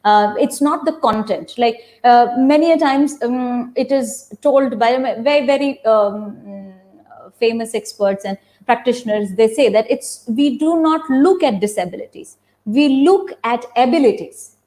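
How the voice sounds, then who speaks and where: A woman's voice: fairly high pitch, measured pace, dark tone. A woman theatre practitioner explaining ideas on a webinar, stressing her points by repeating key phrases.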